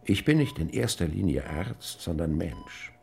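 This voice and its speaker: Ernster Arzt mit tiefer, knarrender Stimme. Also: male voice, sits low, big pitch swings, gravelly, a little mellow, a little hiss or hum, full character voice. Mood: grave, insistent. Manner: deliberate, solemn, measured. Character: grave, conscientious male hospital doctor. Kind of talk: German radio drama acting.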